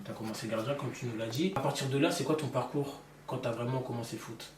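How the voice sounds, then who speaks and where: A man's voice: mid-range voice, fast talker, slight background noise. A man hosting a casual interview, asking simple follow-up questions and often chiming in briefly. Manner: frequent short backchannels and follow-up questions. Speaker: interviewer, host. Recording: echoey room with music behind.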